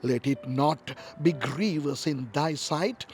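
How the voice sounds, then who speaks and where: Man reading as a calm, slow, authoritative God. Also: a little high.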